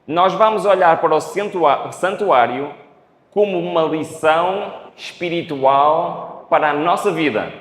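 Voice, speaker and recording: Unhurried Portuguese-accented preacher in a hall. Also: male voice, on the high side. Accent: European Portuguese. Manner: unhurried, asks the audience questions. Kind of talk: Bible study sermon. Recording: echoey hall.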